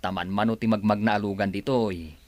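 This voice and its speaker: A man's voice: lowish voice, fairly even intonation, fast talker, no echo, natural voice. An actor with an Ilocano accent playing a confident, polite young handyman in a radio drama. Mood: coaxing. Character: Ronnie, confident, respectful yet forward young handyman. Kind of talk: Filipino radio drama acting.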